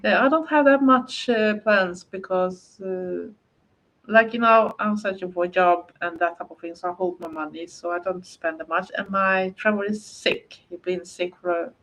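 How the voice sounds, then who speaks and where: A woman's voice: mid-range voice, rough recording. A woman from Sweden with a Swedish accent, chatting casually on a livestream, speaking English as a second language and rambling with frequent hesitations. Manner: rambling, hesitant, many fillers and restarts. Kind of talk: casual livestream chat, answering viewer comments.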